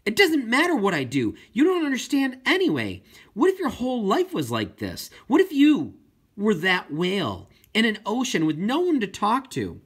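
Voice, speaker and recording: Man voicing an upset, stubborn girl, high-pitched. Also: huge pitch swings, normal speed, fairly bright tone, slightly rough, exaggerated voice. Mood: frustrated, insistent. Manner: emphatic, impassioned protesting. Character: upset, earnest deaf girl, pleading and stubborn.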